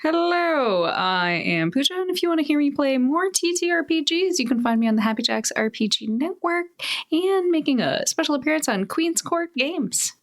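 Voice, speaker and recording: A woman with a high voice speaks on a tabletop gaming podcast. She is one of the players and talks in a casual, conversational style. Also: expressive, moderate pace.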